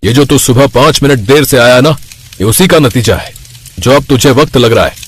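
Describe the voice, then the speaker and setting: A man's voice: medium pitch, normal speed, husky, natural voice. A man voicing a harsh, suspicious restaurant boss who scolds his worker in a cartoon story. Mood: angry, scolding. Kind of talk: Hindi cartoon story acting.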